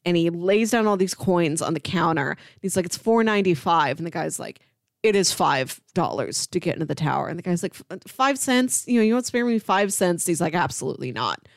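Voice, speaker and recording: A woman's voice: fairly low pitch, expressive, a little fast, dry recording, clean studio sound. A woman co-hosting a film podcast, retelling scenes conversationally and quoting the characters as she goes.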